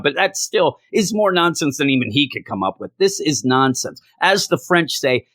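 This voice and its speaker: A hoarse man reviewing a comic book, ranting in exasperation and repeating his complaints for emphasis. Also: fairly high pitch, a bit bright, dry recording.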